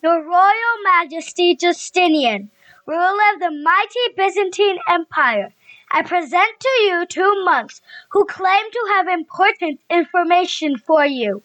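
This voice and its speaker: A woman's voice: extremely high pitch, lively intonation, measured pace, a bit bright, no room sound, distant mic, full character voice, low-quality recording. A woman playing a formal, ceremonious court herald in an amateur audio play.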